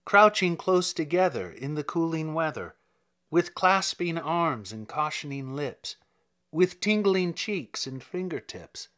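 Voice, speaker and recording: A man hosting a literary reading. His voice sits a little high for a man and moves expressively. He delivers scripted prose and verse slowly, with a theatrical, measured manner. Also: lively intonation, fairly bright tone. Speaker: podcast host and reader. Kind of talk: scripted narration and dramatic poetry reading.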